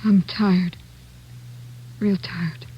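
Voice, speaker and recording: Weary grieving sister on muffled old radio. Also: female voice, on the low side, steady tone, extremely slow. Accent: American. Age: 30s. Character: weary, grieving working woman of thirty-one, protective older sister. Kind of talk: 1940s-50s radio drama acting. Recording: dull old radio recording.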